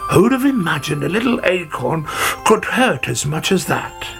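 A man's voice: fairly high pitch, sing-song, moderate pace, gravelly, music in the background, pitched above the performer's own voice. A man playing an opinionated old grandfather gardener in a storybook, grumbling and exclaiming, pleased with his own views. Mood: shocked, relieved. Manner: muttering, exclaiming, self-satisfied.

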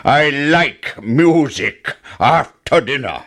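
Man acting out a slow, commanding storybook giant. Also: medium pitch, lively intonation, a bit bright, pitched above the performer's own voice. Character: roaring giant, commanding, fond of music.

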